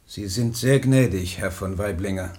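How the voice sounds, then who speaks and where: An actor playing a tormented, proud, half-mad poet in a radio drama, with solemn theatrical declamation. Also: male voice, fairly low pitch, moderate pace, darkish tone, lightly acted. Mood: formal, withdrawn.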